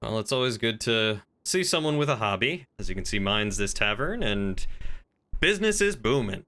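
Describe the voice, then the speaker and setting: A man's voice: fairly low pitch, big pitch swings, moderate pace, bright, forceful tone. A man playing an affable small-town tavern keeper in improvised game roleplay, with polite, folksy small talk. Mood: genial, obliging.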